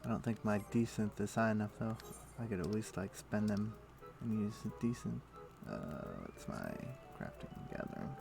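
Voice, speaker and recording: A man's voice: fairly low pitch, steady tone, normal speed, creaky, over music. A man livestreaming a video game, casually thinking aloud in short muttered phrases as he plays, speaking into a desk microphone. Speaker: livestream host. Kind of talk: casual gaming commentary, talking to himself while playing.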